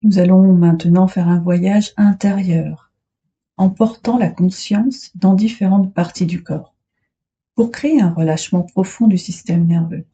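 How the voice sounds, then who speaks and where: A woman guiding a relaxation meditation as a yoga instructor, soothing and unhurried, speaking in short phrases with long pauses. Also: lowish voice, warm tone, breathy edge, dull audio.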